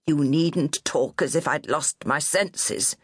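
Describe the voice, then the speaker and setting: A woman voicing a proud, obstinate little old lady who speaks sharply and curtly in a breathy near-whisper. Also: on the low side, big pitch swings, normal speed, dry recording, studio quality, voice pushed up, exaggerated voice.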